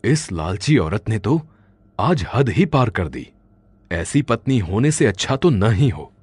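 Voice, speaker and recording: A man playing a gentle, henpecked older village father-in-law in a cartoon horror story, earnest and complaining. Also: lowish voice, sing-song, takes it slowly, slightly rough, no room sound, soft background music, full character voice. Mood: fed up. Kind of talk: Hindi animated horror story acting.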